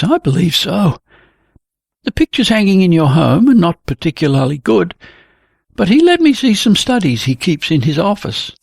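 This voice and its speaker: A narrator voicing a gentle, earnest young woman painter who soothes her lover. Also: medium pitch, gravelly, breathy edge, no room sound, studio quality, pitched above the performer's own voice, exaggerated voice.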